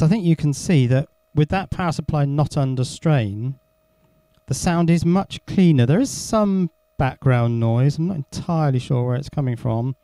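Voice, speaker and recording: Guy doing a casual electronics demo, halting, medium pitch, dry close mic. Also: mellow, no echo. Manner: halting, thinking aloud. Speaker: electronics hobbyist presenter. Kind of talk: casual hands-on demonstration. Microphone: close handheld recorder microphone.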